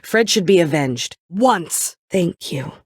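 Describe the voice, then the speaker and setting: A woman's voice: fairly low pitch, animated, takes it slowly, husky, no echo, clean studio sound, full character voice. An actress playing a bitter, world-weary woman survivor set on vengeance in a story video game, brooding, curt and dryly sardonic. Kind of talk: dramatic video game voice acting.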